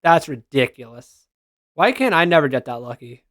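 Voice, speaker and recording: A man's voice: medium pitch, big pitch swings, normal speed, fairly bright tone, no room sound, studio quality. A man giving casual, slangy reaction commentary as a gaming content creator, responding aloud to what he reads.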